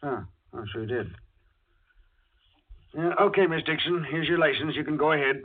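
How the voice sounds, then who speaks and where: A man plays a watchful state trooper from a 1940s radio thriller. His voice sits a little high for a man, and he talks in a clipped, casually official way. The recording is thin and tinny, like an old broadcast. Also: moderate pace, natural voice. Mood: helpful, cautioning. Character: brisk, watchful male state trooper on night patrol.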